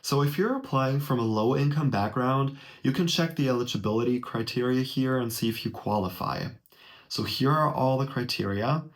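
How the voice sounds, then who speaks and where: A man's voice: mid-range voice, moderate pace. A young man from Germany, a native German speaker, walking viewers through a college application form in a friendly, direct tutorial. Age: young adult. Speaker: vlogger explaining a college application. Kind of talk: casual tutorial walkthrough. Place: small indoor room.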